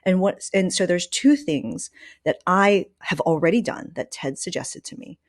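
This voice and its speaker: A woman with a slightly low voice hosts a podcast in a casual, conversational style. She is informal and emphatic, and the sound is dry and free of room echo. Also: animated, moderate pace. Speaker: podcast host.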